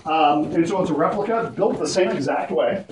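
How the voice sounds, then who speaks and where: A man's voice: on the high side, animated, fairly quick. A man leading a cave tour, chatty and joking as he gives history and safety warnings. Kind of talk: guided tour talk. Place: inside a large echoing cave. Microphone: camera carried by a visitor, guide off to the side. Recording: echoey cave, distant voice, noisy.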